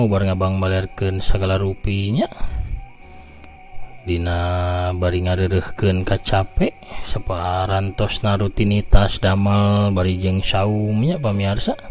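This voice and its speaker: An older man narrating a folk tale and greeting listeners by name, unhurried and homely. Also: lowish voice, darkish tone. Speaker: storyteller. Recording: faint music behind.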